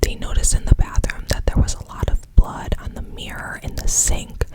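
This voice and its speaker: Soft-whispering chatty true crime storyteller. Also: normal speed.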